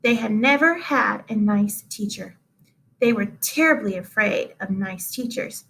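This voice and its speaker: A woman reading a children's book aloud as an expressive storyteller. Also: mid-range voice, unhurried, vocal fry, a little hiss or hum, rough recording. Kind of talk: expressive read-aloud narration.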